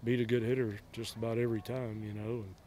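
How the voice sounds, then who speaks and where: A man's voice: fairly low pitch, level tone, quick pace, dark tone, a little hiss or hum. A middle-aged man, a head baseball coach, giving short blunt answers in a postgame interview outdoors at a ballpark at night. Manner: blunt, terse, matter-of-fact answers.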